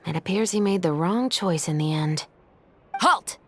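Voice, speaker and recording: Stern young captain, commanding, clipped, slightly low female voice, game dialogue. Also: expressive, unhurried, a little hiss or hum. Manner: commanding, clipped, matter-of-fact. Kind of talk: video game character dialogue.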